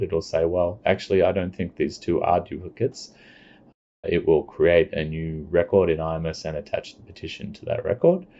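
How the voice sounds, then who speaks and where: A man giving a plain, step-by-step screencast walkthrough of software. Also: sits low. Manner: plain step-by-step explaining, unhurried. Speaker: software demonstrator. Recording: dull lo-fi recording.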